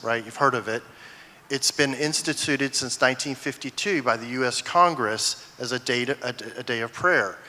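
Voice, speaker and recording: A man's voice: medium pitch, sharp tone. A man giving an informal stage talk to an audience, presenting a film. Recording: echoey large hall.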